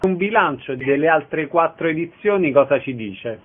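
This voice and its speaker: A male radio host with a fairly high-pitched voice conducts an interview. He speaks in short questions and introductions. The recording sounds muffled and lo-fi. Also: expressive, normal speed.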